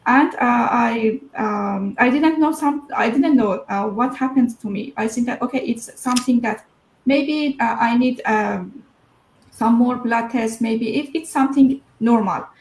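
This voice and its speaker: A woman's voice: on the high side, a little slow, darkish tone, echoey room, poor audio. A woman, telling her personal story as an interview guest, hesitant and full of fillers. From Iran.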